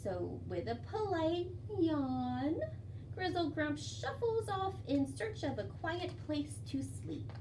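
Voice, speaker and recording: Woman, children's librarian, slow and very lively delivery, sing-song storytime style, recorded from a slight distance. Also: sits high. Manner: sing-song, expressive, addressing young children. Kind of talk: children's storytime with songs, rhymes and read-aloud. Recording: distant mic, faint background noise.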